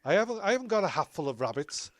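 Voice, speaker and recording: A man's voice: on the high side, very animated, very fast. A man playing a blunt, exasperated, excuse-making actor in a comic audio drama. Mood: indignant. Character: indignant, sweary, excuse-making actor in a bickering troupe.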